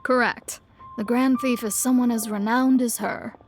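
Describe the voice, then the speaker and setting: A woman's voice: on the high side, steady tone, normal speed, lightly acted. A woman playing a polite young guild receptionist in a video game, speaking formally and courteously. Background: faint game music.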